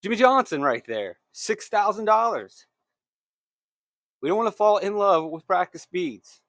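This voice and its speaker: A man's voice: high-pitched, big pitch swings, unhurried, fairly bright tone, no echo, clean studio sound. A man hosting a solo fantasy racing picks show, talking in a conversational, emphatic way that corrects itself, his throat a little scratchy.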